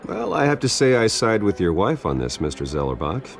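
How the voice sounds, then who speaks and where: An actor playing a composed, wry billionaire socialite, polite, understated and guarded, in a dramatic video game. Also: male voice, on the low side, very animated, fairly quick, creaky.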